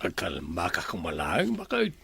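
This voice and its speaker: A man with an Ilocano accent acting a calm, reassuring older husband in a radio drama. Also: sits low, moderate pace, creaky, breathy edge, no echo, full character voice.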